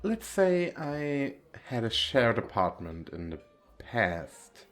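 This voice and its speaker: Playful femboy flirt played by a man for ASMR roleplay: expressive swooping pitch, slow pace, coy, effeminate, teasing. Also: faint music behind. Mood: playfully confiding. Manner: lilting, effeminate, giggly, coy.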